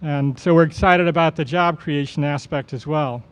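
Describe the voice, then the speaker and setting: Guy with a high clear voice doing a formal ceremony speech, measured, kinda halting. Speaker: airport official hosting a ceremony.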